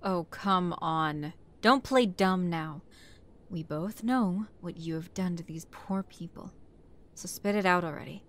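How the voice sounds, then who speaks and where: Woman acting as a tough lowish-voiced chief detective. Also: measured pace, natural voice. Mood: angry, accusing. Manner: brusque, commanding, swearing interrogator. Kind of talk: scripted audio roleplay acting. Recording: clear background noise.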